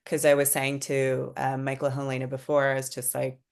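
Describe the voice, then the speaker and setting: A woman with a very deep voice and plenty of vocal fry speaks as a writer. She is informal and keen, reflecting casually while her melody stays narrow. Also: monotone, brisk, a little mellow.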